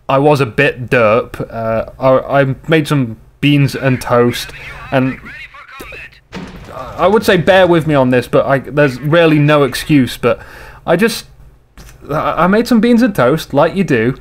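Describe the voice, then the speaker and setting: A man casually commentating on a game replay, slightly hoarse, rambling and joking with his viewers. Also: a little high, slight background noise. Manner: rambling, jokey, chats to viewers.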